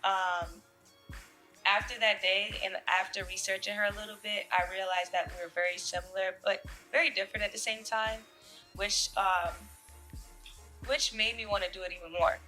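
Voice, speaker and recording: Young female model for interview answers; bright, casual delivery over faint music. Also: mid-range voice, moderate pace, low-quality recording. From Jamaica. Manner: relaxed, with fillers and soft laughs. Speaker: fashion model.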